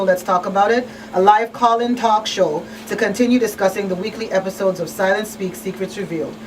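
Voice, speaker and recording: Conversational woman radio host, slightly off-mic. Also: mid-range voice. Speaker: talk show host. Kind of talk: live radio talk, conversational. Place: radio studio. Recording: slightly distant mic, faint noise.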